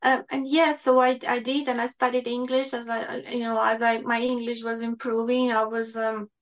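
A woman's voice: a little high, little pitch movement, normal speed. A woman in her fifties from Ukraine with a Ukrainian accent, a doctor telling her life story in an interview with many fillers and restarts. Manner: hesitant, many fillers and restarts, non-native grammar. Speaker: guest doctor.

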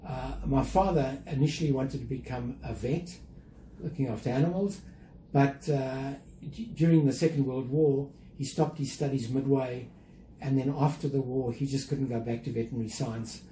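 Mellow older South African man. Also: mid-range voice, steady tone, normal speed. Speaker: interview guest, museum chief executive. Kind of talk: reflective interview conversation. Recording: roomy echo, faint background noise.

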